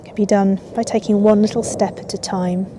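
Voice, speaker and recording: A woman yoga teacher guiding a relaxation in a soothing, unhurried way with long pauses. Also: mid-range voice, little pitch movement, background noise. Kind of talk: guided yoga nidra relaxation. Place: outdoors in a garden. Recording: outdoor ambience with birdsong. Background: birds and garden ambience.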